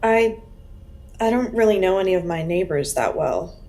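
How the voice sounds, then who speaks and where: A woman's voice: lowish voice, animated, normal speed, dark tone, close to an everyday voice. A woman acting as a guarded, uneasy witness who answers police in hesitant, terse replies in a crime audio drama.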